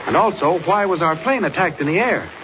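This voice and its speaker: An earnest newspaper reporter in an old radio drama. His fairly high voice is firm and inquisitive, heard through a muffled, noisy recording. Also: animated, fairly quick. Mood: serious, insistent. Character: earnest, determined male newspaper reporter investigating sabotage. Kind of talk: 1940s radio acting.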